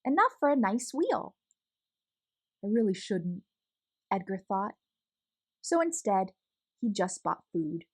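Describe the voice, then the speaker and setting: A woman reading a children's picture book aloud in an expressive, animated storytelling manner. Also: mid-range voice, measured pace, breathy edge.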